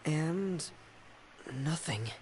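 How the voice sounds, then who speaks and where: Resigned young man, slightly high-pitched, noisy ambience. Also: expressive, drawn-out words, a little mellow. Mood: resigned, subdued. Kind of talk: video game voice acting, dramatic dialogue.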